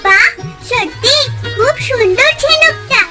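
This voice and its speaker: A woman's voice: very high-pitched, animated, a little slow, over loud music. A woman voicing a sweet, wide-eyed little village girl in a cartoon, childlike and eager. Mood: delighted. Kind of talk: cartoon fairy-tale voice acting.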